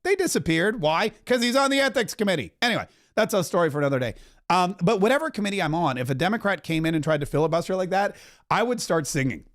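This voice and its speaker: A man in his forties hosting a political commentary podcast, talking emphatically and rambling, now and then breaking into loud singing. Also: high-pitched, lively intonation, quick pace.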